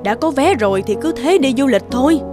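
A woman's voice: high voice, animated, fairly quick, music in the background. Firm elderly cartoon mother. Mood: insistent. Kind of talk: animated moral tale dubbing.

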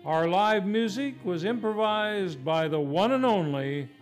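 A man's voice: sits high, animated, unhurried. A man announcing a live radio theater show in a scripted, old-time radio style. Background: live music.

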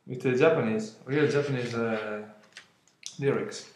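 A man in his twenties from Italy, speaking English with an Italian accent, unboxing an album at home, halting with many fillers and apologies. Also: mid-range voice, a little mellow, reverberant, slight background noise. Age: 20s. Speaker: vlogger. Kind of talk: casual unboxing vlog. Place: room at home, indoors. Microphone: webcam at arm's length.

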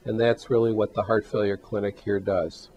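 Measured older male doctor, dull sound. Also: fairly low pitch, little pitch movement, darkish tone. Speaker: heart failure physician. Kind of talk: interview testimonial. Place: hospital exam room.

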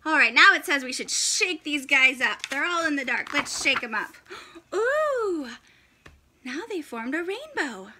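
Casting: woman in her thirties, children's story-time reader, very high lively voice, encouraging and exclaiming, noisy home-style recording. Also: unhurried. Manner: animated, exclaiming, encouraging, with audible gasps. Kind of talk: interactive children's picture book read-aloud. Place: indoors, in front of a fabric backdrop.

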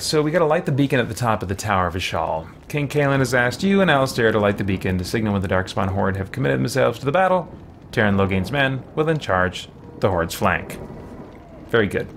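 A man in his forties giving casual live gameplay commentary in a halting, musing way. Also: fairly low pitch, gravelly, fairly bright tone, a little hiss or hum. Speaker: game streamer. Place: small room lined with shelves, indoors. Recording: faint game ambience behind.

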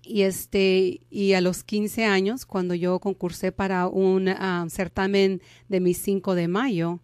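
Give me a candid Mexican-born woman, smooth dry voice. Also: mid-range voice, little pitch movement, takes it slowly, darkish tone, dry recording. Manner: candid, storytelling, with hesitations and restarts. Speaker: interview guest. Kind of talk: conversational interview.

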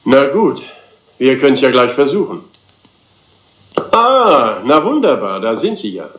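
An actor playing a self-important, theatrical and impatient theatre director in a crime radio play. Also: male voice, medium pitch, sing-song, measured pace, fairly bright tone, dull audio. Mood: smug, businesslike. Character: flamboyant, impatient theatre director rehearsing his own play. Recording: slightly roomy radio drama sound.

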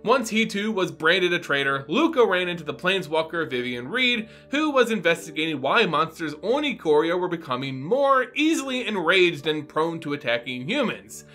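Man, thirties, lively swooping intonation, bright forceful tone, emphatic storytelling, video host narration, music underneath. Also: a little high, normal speed, hardly any pauses. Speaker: YouTube host. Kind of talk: dramatic lore recap narration to camera. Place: small home room, indoors. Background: background music.

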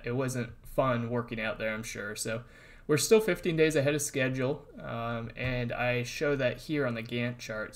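A man narrating a casual voice-over update on a construction project, explaining relaxed and plainly with frequent ums and uhs. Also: medium pitch. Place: voice-over, not recorded on site.

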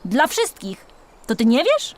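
A woman's voice: sits high, huge pitch swings, measured pace, voice pushed up, full character voice. A woman voicing an old female worker ant in a children's story, a stern but kindly mentor who lectures in a motherly way. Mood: solemn, firm.

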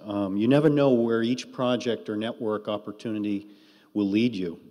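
A man's voice: lowish voice, fairly even intonation, normal speed. A man giving a brief ceremony speech. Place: large indoor auditorium. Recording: reverberant hall, very loud crowd noise. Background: loud crowd.

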